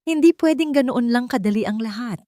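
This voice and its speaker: A woman's voice: on the high side, brisk, warm tone, no room sound, pitched above the performer's own voice, natural voice. Audiobook villainess: scheming, distrustful wealthy woman, tense self-talk performed by a female narrator, dry recording. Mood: suspicious. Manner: tense muttering to herself. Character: suspicious, scheming rich woman muttering to herself.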